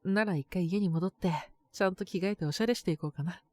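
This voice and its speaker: A woman playing a boyish, slightly mean but doting teenage girlfriend in a romantic audio drama, speaking in a soft, intimate, teasing way. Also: lowish voice, quick pace, no room sound, lightly acted. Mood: affectionate. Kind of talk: Japanese romantic situation voice acting.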